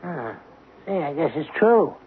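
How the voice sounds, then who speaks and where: An actor playing a shrewd, impatient coin dealer in a radio drama, needling and dryly sarcastic. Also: male voice, fairly high pitch, very animated, unhurried, husky, phone-quality sound, exaggerated voice. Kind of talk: 1970s radio drama acting. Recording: band-limited, slightly noisy old radio broadcast.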